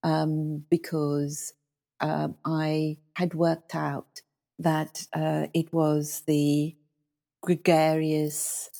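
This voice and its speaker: A middle-aged woman from South Africa, an Afrikaans native speaker, recalls her schooling as an interview guest. Her voice sits low and carries a gravelly fry, and the tone is dark and mellow. She talks in a thoughtful, measured way, pausing on fillers as she gathers her thoughts. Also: completely flat delivery. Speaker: guest, former school leader. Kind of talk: reflective interview storytelling.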